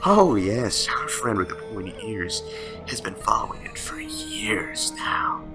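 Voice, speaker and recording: A man voicing a sinister, taunting, lonely madman in an audio drama, with a breathy near-whisper and slow, teasing, theatrical delivery. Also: on the low side, big pitch swings. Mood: ominous storytelling. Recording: background music under the voice.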